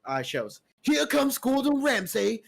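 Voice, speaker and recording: A man's voice: very high voice, big pitch swings, unhurried, gravelly. A Puerto Rican man joking around in a casual group chat, goofy and stumbling over his words. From Puerto Rico.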